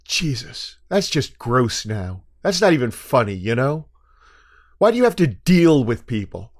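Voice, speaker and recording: A man's voice: mid-range voice, sing-song, measured pace. An American man voicing a scolding, indignant adult sister in a condescending, accusatory way within a personal story.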